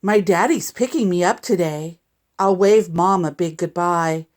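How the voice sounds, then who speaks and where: Slow-paced woman reading a children's story. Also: on the low side. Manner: deliberate, animated reading with sound effects and asides to listeners. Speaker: teacher reading a picture book to young students. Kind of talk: expressive children's story read-aloud.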